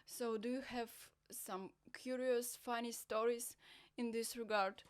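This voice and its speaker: A woman's voice: a little high, steady tone, unhurried, smooth. Eastern European woman hosting a chat show. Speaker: host. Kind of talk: hosting an interview.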